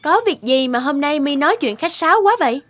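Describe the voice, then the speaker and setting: A woman voicing a haughty, spiteful young sea goddess in an animated fairy tale, with a scornful, mocking manner. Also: high-pitched, a little fast. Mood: suspicious.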